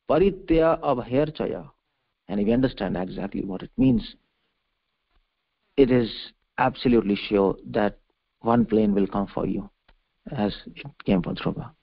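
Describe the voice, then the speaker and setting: A man delivering a religious lecture as a preacher, explaining scripture emphatically in halting, repeated phrases over a conference call. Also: medium pitch, gravelly. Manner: emphatic, repetitive, halting phrases with restated words. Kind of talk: religious discourse, explaining a verse. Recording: dull conference-call audio.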